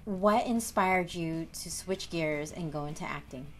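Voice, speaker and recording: A woman's voice: fairly low pitch, a little slow, faint music behind. A woman in her thirties hosting an entertainment TV show, asking questions from a studio set with bubbly enthusiasm. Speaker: host. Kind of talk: entertainment TV interview.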